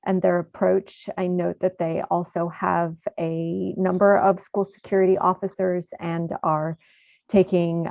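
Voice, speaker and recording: A woman council member giving a measured, formal report at a meeting. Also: fairly low pitch, gravelly, no room sound, highs cut off.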